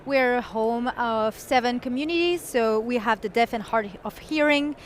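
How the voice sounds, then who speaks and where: A woman guest at a conference interview, explaining her community work eagerly in quick bursts. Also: on the high side, slight background noise. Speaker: software engineer and community guest.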